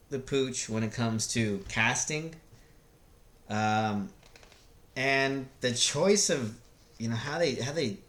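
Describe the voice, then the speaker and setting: A man in his thirties hosting a casual, rambling review in a halting, searching way, with laid-back slangy enthusiasm. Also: medium pitch. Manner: hesitant, halting, searching for words. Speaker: video host reviewing films. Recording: roomy, echoey sound.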